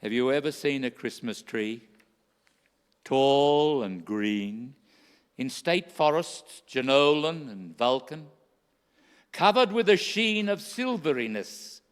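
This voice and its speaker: A kindly, earnest old-time Christmas gift-giver , played by a man declaims radio play verse with emphasis. The delivery is slow and swings widely in pitch. It resounds in an echoey hall. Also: animated, clear, resonant tone. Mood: earnest, rhapsodic. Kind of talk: theatrical rhymed radio play oratory. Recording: echoey hall with long reverb.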